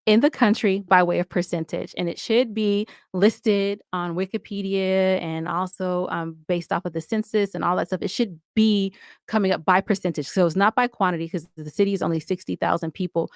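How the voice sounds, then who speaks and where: A woman with a fairly low voice chats in a casual interview. She is candid and playful, telling things in an animated, conversational way. The sound is dry, with almost no room. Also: dry recording. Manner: chatty, candid, animated storytelling with playful asides. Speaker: podcast guest, audio drama podcaster.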